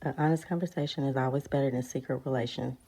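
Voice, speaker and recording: A woman hosting her channel, giving a measured, scripted opinion to her viewers. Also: very deep voice, creaky, slightly rough, poor audio. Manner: measured, even-toned opinion piece. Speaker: channel host. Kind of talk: scripted commentary.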